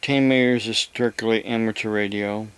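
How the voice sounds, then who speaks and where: A man giving a rambling, casual explanation to viewers, halting and repeating himself as he goes. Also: fairly low pitch, fairly even intonation, a bit bright, clean tone, no echo. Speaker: vlogger.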